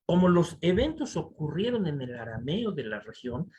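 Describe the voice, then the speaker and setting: A man with a Mexican accent giving a conference lecture over slides, animated and full of rhetorical asides to the audience. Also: fairly high pitch, normal speed, lo-fi sound, slight background noise. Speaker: conference speaker. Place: conference hall.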